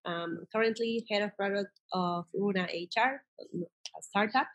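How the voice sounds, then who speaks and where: A woman in her thirties from Chile, introducing herself in a soft, unhurried way over a video call. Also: medium pitch, rough recording. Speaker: head of product. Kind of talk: self-introduction.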